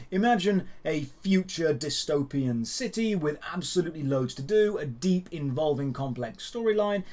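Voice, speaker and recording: A man hosting a gaming news show, reading scripted updates to viewers in an emphatic, wry way. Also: on the high side.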